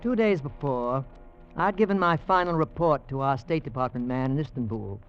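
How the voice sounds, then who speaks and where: An actor playing an earnest American oil engineer with an American accent, telling his adventure in measured old-time radio drama style. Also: mid-range voice, lively intonation, faint music behind, natural voice. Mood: earnest, suspenseful. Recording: muffled vintage radio recording.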